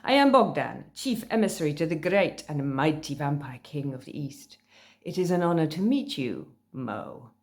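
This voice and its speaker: A woman's voice: low voice, very animated, normal speed, a little mellow. A woman voicing a mysterious, insistent male vampire emissary with a put-on Eastern European accent and a grandiose, commanding manner, in a storybook reading. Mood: formal, proud. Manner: grandiose, commanding, clipped grammar.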